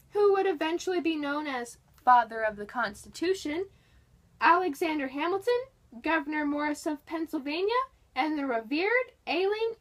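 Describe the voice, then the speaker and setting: A woman reading a history book aloud in a dramatic, scripted narration style. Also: sits high.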